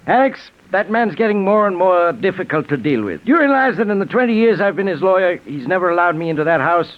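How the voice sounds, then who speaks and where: An actor in a 1940s radio drama playing a blunt, exasperated middle-aged lawyer, insistent and indignant. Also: male voice, high-pitched, big pitch swings, quick pace. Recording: muffled 1940s radio recording.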